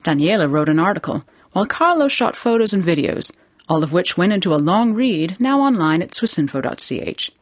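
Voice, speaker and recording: A woman hosting a radio program in a studio, reading scripted narration in a measured presenting manner. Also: on the low side, sing-song, no room sound. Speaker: program host.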